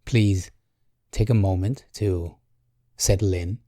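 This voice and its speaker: A man guiding a meditation in a calm, gentle, measured way, leaving long pauses. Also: deep voice, no room sound, studio quality. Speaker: meditation guide. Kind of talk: guided meditation, slow and soothing.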